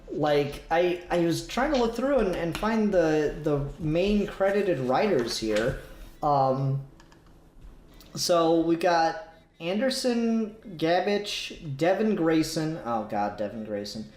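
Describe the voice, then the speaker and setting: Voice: mid-range voice, a little mellow. A podcast co-host in casual comic book discussion, talking emphatically, repeating words and swearing. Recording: roomy, echoey sound.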